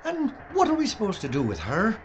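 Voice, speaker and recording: Actor as a wildly animated, sarcastic man. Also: high voice, brisk. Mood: grumbling. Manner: sarcastic, theatrical. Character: Deck, sarcastic, grumbling, excitable man filmmaker. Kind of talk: audio drama acting. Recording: dull lo-fi audio, faint noise.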